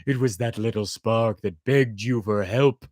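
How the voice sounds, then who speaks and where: A blazing fire voiced by a storyteller, reproachful and unforgiving. The middle-pitched voice is dramatic and accusing, in storybook fashion. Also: a little slow, a little mellow, no echo, studio quality, close to an everyday voice. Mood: bitter reproach.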